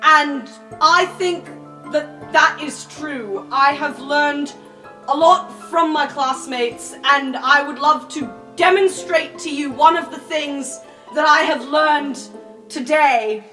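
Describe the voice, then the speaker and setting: A woman's voice: high voice, slow, pushed and bright, faint music behind, exaggerated voice. A woman voicing an awkward, earnest young male student who gives a formal thank-you speech. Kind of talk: improvised tabletop roleplay.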